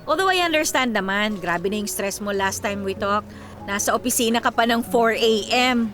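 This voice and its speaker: An actress playing a tired, generous young Filipino breadwinner daughter in a radio drama, with a wry, teasing manner. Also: female voice, fairly high pitch, normal speed, fairly bright tone, soft background music, natural voice. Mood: caring, light. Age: young adult. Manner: teasing, self-deprecating.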